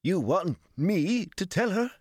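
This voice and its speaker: A man's voice: deep voice, lively intonation, slow pace, no echo, studio quality, exaggerated voice. A man voicing a stout, older, anxious male servant in an audiobook, deferential, stiff and hesitant in his speech. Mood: alarmed, wheezy. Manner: stiff, hesitant, flustered, stammering. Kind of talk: audiobook character dialogue.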